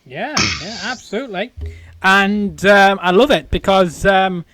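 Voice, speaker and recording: A man hosting a casual interview show, chatty and rambling, with a croaky voice. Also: high-pitched, a little slow, crowd noise. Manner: rambling, chatty, many fillers. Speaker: podcast host.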